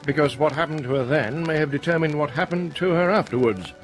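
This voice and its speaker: An actor playing a grave, unshakeable police inspector in a radio play, measured, polite and insistent. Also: male voice, fairly high pitch, faint music behind, natural voice. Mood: ominous, insistent.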